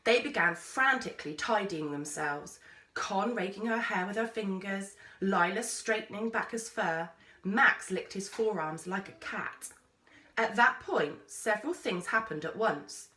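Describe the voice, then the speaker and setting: A woman reading a children's story aloud with expressive, measured, dramatic phrasing. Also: medium pitch. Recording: roomy echo, distant microphone.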